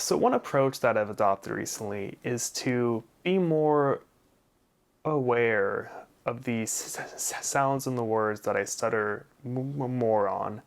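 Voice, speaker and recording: A young man explaining his personal experience to viewers, stuttering with repeated sounds, blocks and prolonged, deliberate phrasing. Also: medium pitch. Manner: deliberate, smoothly prolonged speech between stutters. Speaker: vlogger sharing personal experience. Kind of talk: explanatory personal talk to camera.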